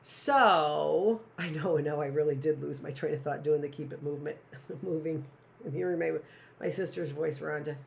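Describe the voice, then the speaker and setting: A woman's voice: low voice, moderate pace, creaky. A middle-aged woman teaching a live online class, rambling with sarcastic asides and talking to herself.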